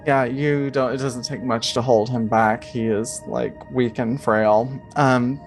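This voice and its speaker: A man acts as game master in a tabletop session. His voice sits at an average pitch, and he tells the scene in a loose, halting, conversational style. Faint music plays behind him. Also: fairly even intonation. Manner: casual, halting, conversational delivery. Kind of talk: improvised tabletop game narration. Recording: music under the voice.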